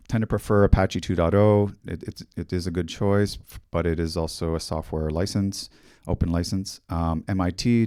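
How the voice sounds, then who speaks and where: A middle-aged man with a Canadian accent giving a technical conference talk in a fairly monotone, steady voice, speaking into a handheld mic on a hall stage. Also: low voice, clear, resonant tone, studio quality. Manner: monotone, steady explanatory delivery. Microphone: handheld microphone.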